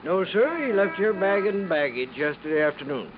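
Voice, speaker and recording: An actor playing a talkative, homespun apartment house manager. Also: male voice, high voice, sing-song, normal speed. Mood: gossipy. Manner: talkative, homespun, says ain't. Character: chatty, folksy male apartment house manager. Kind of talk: 1950s radio drama acting. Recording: muffled old radio broadcast with hiss.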